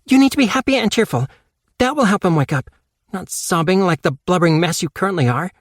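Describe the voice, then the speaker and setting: A man voicing an emotional teenage girl with a high, shaky, pleading voice. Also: expressive, fast talker, no room sound, studio quality. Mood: tearful, emotional.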